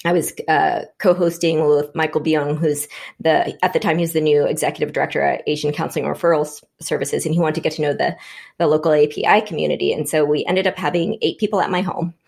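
Low, gravelly-voiced woman on a panel. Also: fairly even intonation, fast, a little mellow. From Vietnam. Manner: reflective, thinking aloud with frequent restarts. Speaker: panel guest. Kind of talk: conversational panel discussion.

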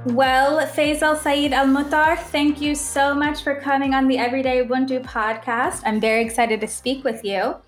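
A woman's voice: high voice, little pitch movement, normal speed, few pauses, faint music behind. A woman hosting a podcast, reading a scripted introduction and asking curious, conversational questions. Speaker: podcast host.

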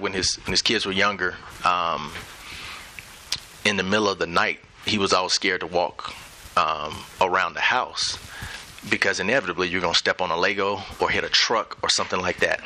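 A middle-aged man speaking as a guest in a live onstage conversation, measured and thoughtful with light laughs. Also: sits low, pushed and bright, a little hiss or hum, rough recording. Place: event center stage.

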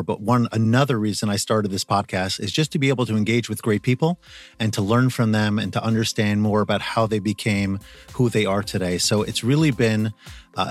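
A middle-aged man hosting an interview podcast, encouraging and speaking with frequent fillers. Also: fairly low pitch. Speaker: podcast host.

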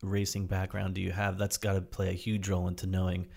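A man with a very low, creaky voice hosts a casual interview. He talks informally, feeling his way through loosely phrased questions and remarks. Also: completely flat delivery, fast, a little mellow. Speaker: podcast host, interviewer.

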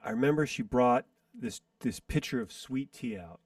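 A man in his forties, a musician guest in a casual interview, reminiscing in a rambling way with pauses to recall details. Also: medium pitch, expressive, dry recording. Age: 40s. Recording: distant dry mic.

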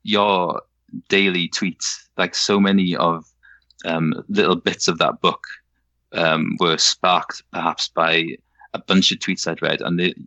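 A man with a Welsh accent softened toward English, talking casually as a novelist guest, hesitant with many fillers and restarts. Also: low-pitched, flat delivery, slow, no echo. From Wales. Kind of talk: casual conversation.

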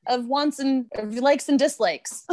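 A woman's voice: sits high, measured pace, a little mellow, no echo. A woman guest on a podcast, a bartender, telling stories animatedly with frequent laughter and sarcasm. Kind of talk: casual chat.

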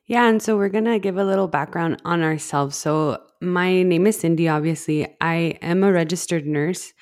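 Kobieta o niskim głosie z Teksasu. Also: female voice, on the low side, normal speed, darkish tone. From El Paso, Texas. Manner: friendly, earnest, repeats words. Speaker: podcast co-host. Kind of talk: casual podcast chat.

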